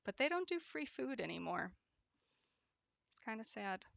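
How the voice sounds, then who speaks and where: Young woman, craft streamer, casual chat, bright, gravelly, dry close sound. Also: on the high side, sing-song, normal speed, no room sound. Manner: chatty, laughs often, trails off into restarts. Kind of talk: casual chat while crocheting and reading chat.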